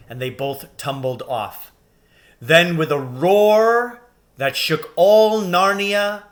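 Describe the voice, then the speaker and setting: A man reading a children's story aloud with expressive, deliberate and dramatic phrasing. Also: a little high. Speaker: reader, teacher reading aloud.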